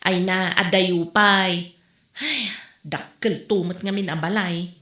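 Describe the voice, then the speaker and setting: A woman's voice: lowish voice, fairly even intonation, takes it slowly, a bit bright. A woman with a Filipino accent playing a plain-spoken village housewife in conversational comedy drama dialogue. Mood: chatty. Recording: echoey room recording.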